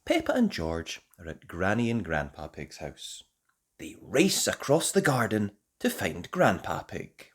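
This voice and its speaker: A storyteller reading a children's picture book aloud in an expressive way, as host. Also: low voice, measured pace, husky.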